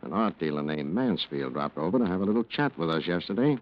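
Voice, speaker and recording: An actor playing a dry, probing police lieutenant in 1940s radio noir style, deliberate and understated. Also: male voice, low voice, animated. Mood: coolly probing. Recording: dull vintage radio recording.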